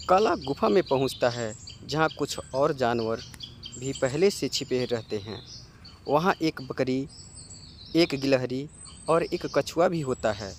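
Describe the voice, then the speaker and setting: Male narrator for a children's cartoon fable, very unhurried, mid pitch, light birdsong noise behind. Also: lively intonation.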